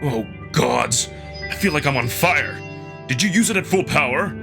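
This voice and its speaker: Man voicing a gravelly, whining wolf captive. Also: fairly high pitch, animated, normal speed, pitched above the performer's own voice. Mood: indignant. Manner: groaning, complaining, exclamatory. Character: anthropomorphic male wolf alien, indignant, whining, defensive captive. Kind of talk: dramatic visual novel character acting. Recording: music bed under the voice.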